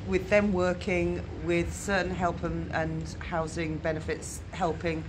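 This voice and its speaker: A woman's voice: lowish voice, flat delivery, unhurried. A middle-aged woman who volunteers with the homeless, explaining at length in an earnest, casual way with many fillers, filmed close up in a sunny glass shelter. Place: glass-walled seaside shelter, sunny day. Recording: noisy outdoor ambience.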